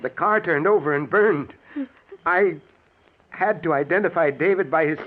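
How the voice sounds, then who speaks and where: An actor playing a stern, rational middle-aged doctor and protective husband, with commanding, dramatic old-time radio delivery. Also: male voice, fairly high pitch, moderate pace, phone-quality sound, natural voice. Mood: alarmed, urgent. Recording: thin, band-limited old radio recording.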